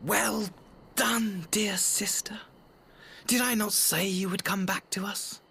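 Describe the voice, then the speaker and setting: Slow, smug villainous brother. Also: male voice, sits high, steady tone, creaky, pushed and bright, slight background noise, full character voice. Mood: gloating, mocking. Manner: theatrical, taunting, drawn-out delivery. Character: smug, cruel, mocking brother, theatrical villain. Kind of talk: video game cutscene acting. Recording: music behind the voice.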